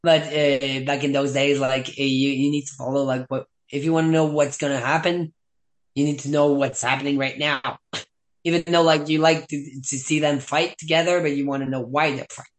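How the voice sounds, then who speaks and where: Young québécois guy, slightly high voice, rambling with lots of likes. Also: level tone, normal speed. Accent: Québécois French. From Quebec, Canada. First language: French. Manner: rambling, many "like" fillers, laughs often. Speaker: guest. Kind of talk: casual chat.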